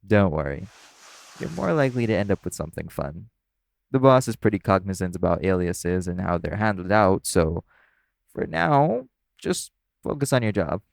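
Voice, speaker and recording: A man acts out an easygoing, flirtatious nightclub coworker in an audio roleplay. His voice sits low with plenty of fry. He talks casually and teases as he reassures. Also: very animated, normal speed, no room sound, exaggerated voice. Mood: casual, reassuring. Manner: casual, teasing, conversational. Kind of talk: audio roleplay acting addressed to the listener.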